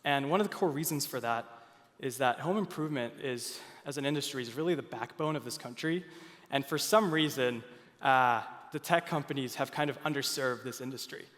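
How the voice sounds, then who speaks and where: A young man from Finland speaks on a conference stage at a middle pitch. He is earnest and stumbles into fillers and restarts often. A long reverb trails his voice through the large hall. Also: a little fast, fairly bright tone.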